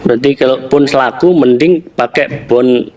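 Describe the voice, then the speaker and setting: A man's voice: mid-range voice, moderate pace, a little mellow, a little hiss or hum, rough recording. A man answering hesitantly and deferentially as a guest seeking advice. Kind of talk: casual consultation answers.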